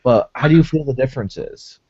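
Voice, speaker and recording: A man's voice: medium pitch, fairly quick, darkish tone, husky. Casual male host on lo-fi webcam audio. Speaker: host, interviewer. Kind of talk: casual video-chat interview. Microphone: built-in webcam microphone on video call. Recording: dull lo-fi web video call.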